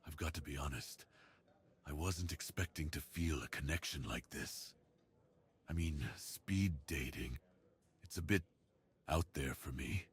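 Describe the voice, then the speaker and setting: A man voicing a big, gentle werewolf in an ASMR roleplay, speaking in an earnest, bashful, tender way. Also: very deep voice, unhurried, pauses often, slightly rough, pitched below the performer's own voice, exaggerated voice. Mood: pleased, tender. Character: big, muscular, gentle, bookish romantic male werewolf.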